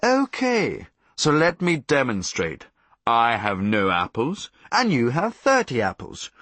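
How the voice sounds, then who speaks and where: A man voicing a greedy, gloating banker who explains slowly like a teacher and taunts his pupils, in a comic audiobook. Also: medium pitch, huge pitch swings, fairly bright tone, dry recording, heavily acted. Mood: smug lecturing. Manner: slow mock-explaining, turning into triumphant taunting.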